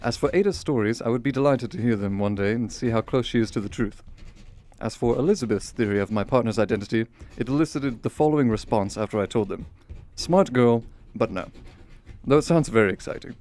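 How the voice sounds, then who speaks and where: An actor playing a courteous, affectionate Victorian gentleman reading letters to his sister in a radio drama, in formal, articulate period diction. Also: mid-range voice, brisk, lightly acted. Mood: fond, amused.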